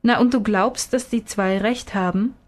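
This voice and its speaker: Storybook father read by a woman, patient, gently probing, explaining things step by step. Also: mid-range voice, fairly even intonation, normal speed, lightly acted. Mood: questioning.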